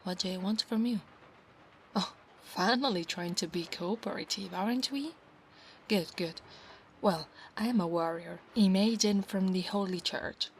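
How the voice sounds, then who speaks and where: A haughty, insistent centaur warrior maiden, acted by a woman in an ASMR roleplay. She speaks formally and commandingly at an unhurried pace. There is noticeable noise in the recording. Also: mid-range voice, close to an everyday voice, poor audio. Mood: proud, explaining. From Italy. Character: proud, insistent female centaur knight of a holy order.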